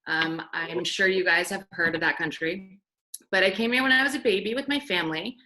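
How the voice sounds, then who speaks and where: A woman's voice: fairly low pitch, fairly quick, bright, forceful tone, rough recording. A young woman born in Iran, speaking Farsi natively, talks casually and upbeat to kids as a guest on a video call, asking them questions. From Iran, raised in the United States.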